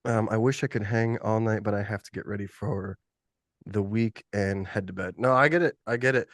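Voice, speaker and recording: A man's voice: on the low side, moderate pace, dry recording. Male podcast host with a cold, sniffly, chatty and laughing often, dry close call audio. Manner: loose, laughing, rambling. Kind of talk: casual live podcast chat.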